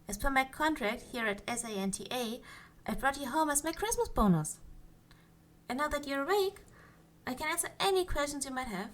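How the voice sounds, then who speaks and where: A woman playing a cheerful, possessive yandere elf in an ASMR roleplay, speaking to the listener in a coy, playful way with drawn-out phrases. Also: high-pitched, slight background noise.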